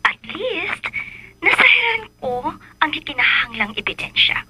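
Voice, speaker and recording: An actress playing a scheming, possessive spurned lover in a radio drama, taunting and demanding. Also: female voice, high-pitched, big pitch swings, measured pace, heavy background noise. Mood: taunting, threatening.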